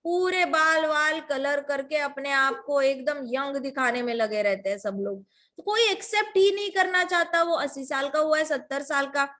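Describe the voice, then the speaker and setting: A woman's voice: high voice, normal speed, sharp tone. A woman giving a devotional talk. She mixes English words into her Hindi and keeps asking her listeners rhetorical questions. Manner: emphatic, full of rhetorical questions. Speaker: devotional storyteller.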